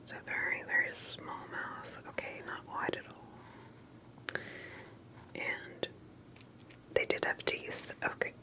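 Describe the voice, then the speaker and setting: A woman speaking in a soft, breathy whisper, gently and carefully asking questions in an ASMR interview roleplay. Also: takes it slowly, long pauses, rough recording.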